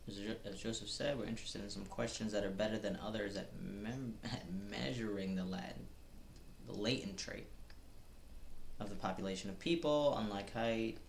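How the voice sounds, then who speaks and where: A man's voice: lowish voice, normal speed, gravelly. A man streaming an online course, casually thinking aloud and second-guessing his quiz answers. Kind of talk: casual live-stream commentary. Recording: roomy with faint background noise.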